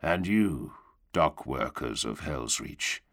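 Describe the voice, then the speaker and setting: A grim armoured warrior-priest, played by a male narrator, speaks in a low, bleak drawl. He intones his lines tersely and solemnly, with the weight of a commander giving a sermon in a war story. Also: animated, takes it slowly, a bit bright, heavily acted.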